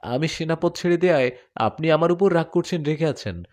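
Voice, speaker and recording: A man's voice: a little high, brisk, darkish tone, voice pushed up, close to an everyday voice. A man voicing a devoted young male jinn warrior who pleads and argues in a spoken story. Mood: earnest. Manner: earnest, pleading.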